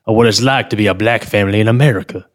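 A man's voice: fairly low pitch, close to an everyday voice. A man playing a hesitant, stammering street performer who promotes his one-man show in an improvised comedy interview. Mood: bumbling. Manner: halting, stammering, full of filler sounds.

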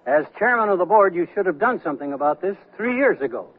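An older man playing a shrewd, feisty retired investor in a radio comedy, with a dry, deliberate delivery. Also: high voice, sing-song. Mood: feisty, scolding. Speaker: radio actor. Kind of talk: 1970s radio comedy acting. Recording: thin narrow-band 1979 radio recording.

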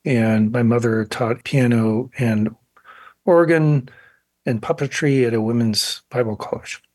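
A man's voice: mid-range voice, steady tone, unhurried, a little mellow, no room sound. A male artist who grew up in South Korea, speaking thoughtfully in a radio interview and sometimes restarting sentences. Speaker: guest artist.

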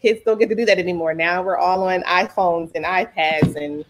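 Frank, low-voiced woman guest. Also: steady tone, normal speed, noisy background. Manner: frank, casual, says "you know" and "um" often. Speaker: parent advocate, interview guest. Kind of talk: conversational interview.

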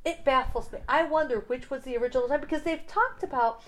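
A middle-aged woman with a loud, animated voice chats casually on a podcast. She laughs easily and talks with lively emphasis. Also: high voice, fast, slight background noise. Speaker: podcast co-host. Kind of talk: casual chat.

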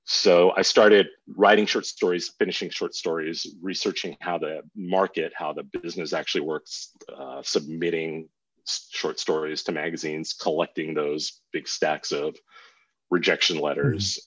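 Low, husky American man in conversation. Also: steady tone, measured pace, fairly bright tone. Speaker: guest author. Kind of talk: reflective interview storytelling. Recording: dull, roomy lo-fi sound.

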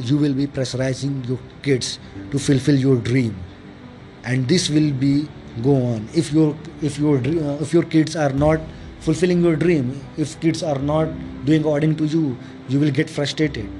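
An Indian-accented man, a native Hindi speaker, talks in a slightly high voice over clearly audible music. He delivers an informal self-help monologue, hammering points through repetition and lists in somewhat halting English. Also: dark tone. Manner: repetitive, emphatic listing of points; halting, non-fluent English. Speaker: podcast host. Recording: music playing behind the voice. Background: clear background music.

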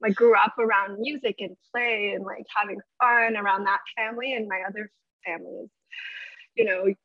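A woman guest on a podcast, talking in a bubbly, energetic way about dance and spirituality, with many "like" fillers. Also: mid-range voice, moderate pace, dry recording, muffled recording. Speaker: podcast guest, workshop leader. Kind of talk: casual spiritual chat.

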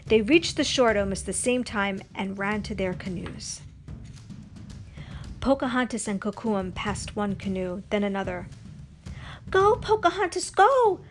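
A woman's voice: medium pitch, moderate pace, soft background music. A woman narrating a scripted children's story as a storybook reader, with faint noise in the recording.

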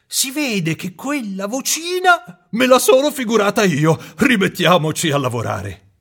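A jittery old carpenter, pushed far up into a thin, bright register with a gravelly, husky edge. He stammers and mutters to himself, swinging between bluster and fright as the storybook goes on. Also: sits high, sing-song, measured pace, pitched above the performer's own voice, full character voice. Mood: self-reassuring. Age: older.

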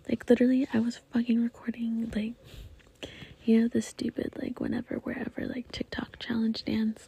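A woman's voice: fairly high pitch, vocal fry, mellow, breathy edge. A young woman hosting a podcast, talking in a whispery, rambling, profane way as she vents casually. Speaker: podcast host. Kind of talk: casual venting monologue.

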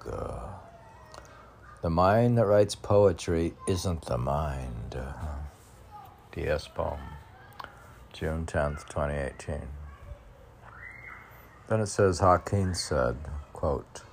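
Older guy rambling poetry podcast, really slow n gravelly, keeps asking himself stuff, bit of background noise. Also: sits low, a little mellow. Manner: musing, halting, questioning himself aloud. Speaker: poet reading own poems on a podcast. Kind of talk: rambling solo talk with poem readings.

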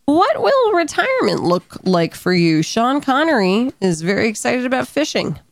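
A woman's voice: on the high side, sing-song, moderate pace, vocal fry, no echo, studio quality. A woman co-hosting a podcast, reading out quiz questions in an upbeat, casual way with frequent laughter. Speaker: podcast co-host asking the questions. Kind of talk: casual chat.

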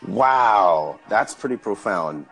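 A man's voice: lowish voice, animated, takes it slowly, fairly bright tone, breathy edge, soft background music, rough recording. A man hosting an interview podcast, keen and admiring, often saying wow. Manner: enthusiastic, admiring, many wows. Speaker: podcast host. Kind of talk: casual interview chat.

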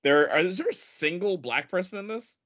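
A man's voice: high-pitched, very animated, brisk, fairly bright tone. A man co-hosting a podcast in casual banter, sarcastic and swearing freely. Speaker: podcast co-host. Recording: dull lo-fi podcast audio.

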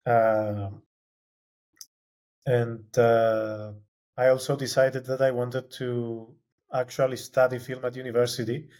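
Slow, flat-voiced Greek-native man. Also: medium pitch. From Cyprus. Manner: hesitant, many "uh" fillers and restarts. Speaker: guest, film graduate. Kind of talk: casual interview answers.

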